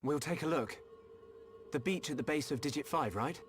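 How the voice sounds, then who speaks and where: Young male lead played by an actor, sincere and resolute, lighter higher pitch, game cutscene, noisy backdrop. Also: normal speed, close to an everyday voice. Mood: concerned, hopeful. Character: earnest, polite, determined young male hero. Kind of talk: video game cutscene voice acting.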